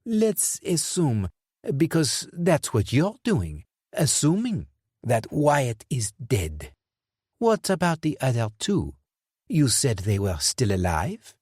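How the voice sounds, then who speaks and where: A man voicing a menacing, sarcastic male crime boss who questions slowly and pointedly. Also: medium pitch, huge pitch swings, a little mellow, husky, no echo, studio quality, pitched above the performer's own voice, heavily acted. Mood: sneering, cold. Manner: slow, pointed, mocking questioning.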